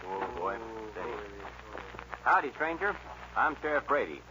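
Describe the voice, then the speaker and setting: An actor playing a stern frontier sheriff with an American accent, in 1940s radio western style. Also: male voice, medium pitch, sing-song, measured pace. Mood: businesslike. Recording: muffled, noisy old radio recording.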